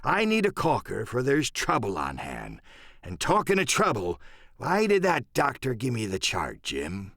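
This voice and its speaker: A cunning old pirate sea cook for an audiobook. His pitch leaps and plunges through rustic sailor talk, blustering one moment and wheedling the next. The sound is close and dry. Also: wildly swooping pitch, measured pace, creaky, a bit bright, no echo, heavily acted. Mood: confiding, scheming. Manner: rustic sailor speech, emphatic and wheedling by turns. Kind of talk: dramatic audiobook character acting.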